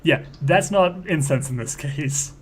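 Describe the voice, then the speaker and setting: A man playing a tabletop game, casually and haltingly describing what his character does. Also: a little high, a little hiss or hum.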